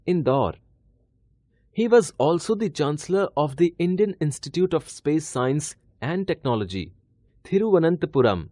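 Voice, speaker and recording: A man narrating a scripted children's story in a clear, measured, expressive read-aloud style. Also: a little high, no room sound, clean studio sound.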